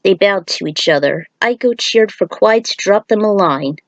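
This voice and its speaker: A woman's voice: mid-range voice, lively intonation, moderate pace, dry recording, lo-fi sound. A woman narrating a scripted fantasy story.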